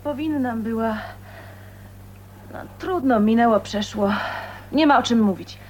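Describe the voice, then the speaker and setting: An actress playing a gentle, earnest young woman in a radio drama, pleading softly. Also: fairly high pitch, unhurried, slight background noise, natural voice. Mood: concerned, pleading. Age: young adult. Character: gentle, earnest unmarried woman of twenty-nine.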